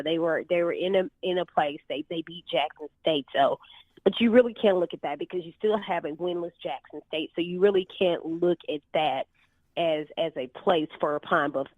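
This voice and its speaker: A woman's voice: lowish voice, dry recording. A woman co-hosting a casual college chat, heard over a thin, phone-like line. The sound is very dry and close. She is enthusiastic and affirming as she moves the show from topic to topic. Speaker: podcast co-host.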